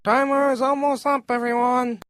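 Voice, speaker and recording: A man voicing a perky animated cookie who hosts a cartoon elimination show in a theatrical announcing manner. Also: extremely high pitch, moderate pace, heavy background noise. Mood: upbeat, suspenseful. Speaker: show host.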